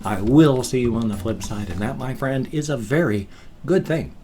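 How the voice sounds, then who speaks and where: A man in his fifties from the United States, chatting casually in a vlog and drawling his thank-yous slowly. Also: mid-range voice, mellow, a little hiss or hum. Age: 50s. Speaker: vlogger.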